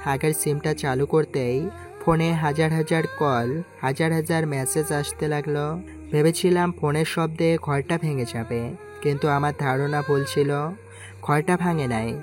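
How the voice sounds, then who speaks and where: A man's voice: fairly high pitch, fairly even intonation, normal speed. A man narrating a scripted first-person story, voicing its dialogue as he goes. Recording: music clearly behind the voice. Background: music.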